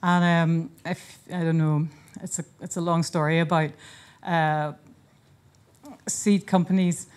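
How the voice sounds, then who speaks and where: A woman giving an earnest, informal conference talk in a hotel conference room, often pausing and restarting her sentences. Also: fairly low pitch, flat delivery, darkish tone. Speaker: conference speaker and seed charity founder. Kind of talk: conference presentation.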